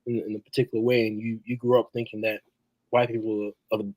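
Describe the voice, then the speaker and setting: A man's voice: on the low side, flat delivery, fast, darkish tone, no echo, poor audio. A man who grew up in Australia, chatting casually on a podcast panel, stumbling and repeating words as he thinks. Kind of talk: casual chat.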